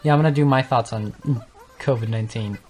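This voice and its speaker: A man's voice: medium pitch, fast. Male gaming host, unscripted, rambling, frequent stutters and restarts. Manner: stutters and stumbles over words; rambling, thinks aloud with many fillers. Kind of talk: unscripted casual talk over gameplay.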